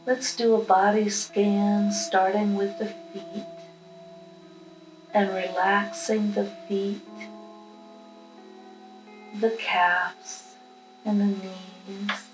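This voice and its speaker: A woman leading a guided meditation with affirmations, speaking in a calm, unhurried, instructional manner. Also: mid-range voice. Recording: roomy echo with music behind.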